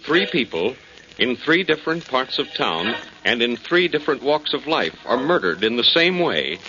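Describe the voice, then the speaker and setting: A man's voice: medium pitch, very animated, moderate pace, noisy background. A man narrating a scripted recap as a 1940s radio drama announcer. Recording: muffled 1940s radio recording. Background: loud music.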